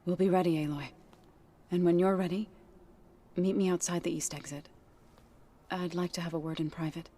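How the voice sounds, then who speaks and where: A woman playing a gentle, grieving tribeswoman in a video game, speaking in a soft, measured, reverent way. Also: lowish voice, fairly even intonation, close to an everyday voice. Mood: calm, confiding. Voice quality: soft, breathy. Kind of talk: video game cinematic acting.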